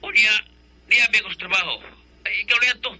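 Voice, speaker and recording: A man plays a working husband in a radio drama. His voice is very bright and tense, pitched a little high. He speaks in an offhand way and tries to reassure. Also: steady tone, fast. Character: tired working husband, easygoing.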